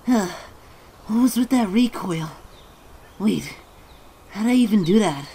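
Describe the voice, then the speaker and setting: A woman voicing a bewildered, irritable amnesiac young Pikachu in an animated comic dub, exasperated and sarcastic. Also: mid-range voice, slow pace, slight background noise. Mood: confused, muttering.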